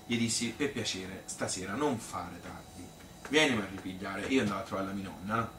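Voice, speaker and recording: A man's voice: fairly low pitch, fairly quick, fairly bright tone, close to an everyday voice. Man playing a rambling Tuscan mother, roomy and distant. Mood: matter-of-fact, resigned. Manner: rambling, colloquial, hesitant, self-questioning. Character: plain-spoken Tuscan mother reminiscing about marriage and pregnancy. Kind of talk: conversational theatrical monologue. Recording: roomy, distant stage recording with noise.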